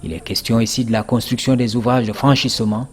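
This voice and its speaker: A man's voice: medium pitch, steady tone, fast talker. A man narrating a scripted news report as a reporter.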